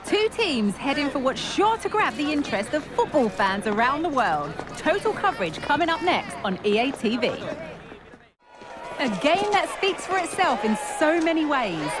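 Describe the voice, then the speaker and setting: A woman playing an excited TV football presenter in a video game, delivering scripted pre-match hype with dramatic emphasis. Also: high voice, moderate pace. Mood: hyped, anticipatory. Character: excited female TV football presenter building anticipation. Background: loud music.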